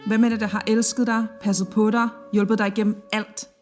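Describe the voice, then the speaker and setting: A woman's voice: medium pitch, level tone, close to an everyday voice. A woman playing Denmark as a jealous lover. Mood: angry. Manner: accusing, quick-tongued. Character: Denmark as a possessive female lover, jealous and dramatic. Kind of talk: audio drama dialogue. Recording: background guitar music.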